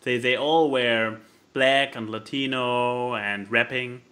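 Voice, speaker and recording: A man's voice: mid-range voice, steady tone, takes it slowly, crowd noise. A man co-hosting a podcast, chatting casually with dry sarcasm. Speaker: podcast co-host. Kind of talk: casual chat.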